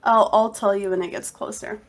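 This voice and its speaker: A woman's voice: mid-range voice, fairly even intonation, moderate pace. A woman in her twenties chatting casually as a streamer, blunt and informal. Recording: clear background noise, clicks.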